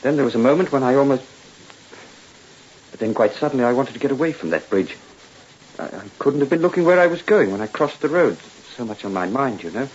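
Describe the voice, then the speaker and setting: Male actor playing wronged husband, cool and deliberate, quietly commanding, expressive pitch, hissy old radio drama recording. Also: natural voice. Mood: wearily explaining. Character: cool, calculating wronged husband turning the tables. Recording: muffled old radio recording, steady hiss.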